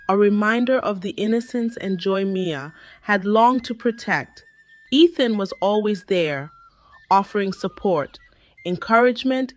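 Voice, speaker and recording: A woman narrating a scripted folktale in a measured, dramatic storytelling manner. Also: medium pitch.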